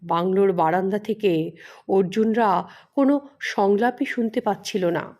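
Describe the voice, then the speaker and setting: A woman's voice: mid-range voice, unhurried, darkish tone, slight background noise. A woman narrating a scripted mystery story as an audio storyteller.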